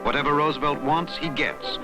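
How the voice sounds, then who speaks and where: A man's voice: fairly high pitch, steady tone, brisk, poor audio. An American man narrating a vintage documentary in a dramatic, emphatic newsreel style with scripted lines. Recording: vintage documentary soundtrack with loud music. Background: loud music.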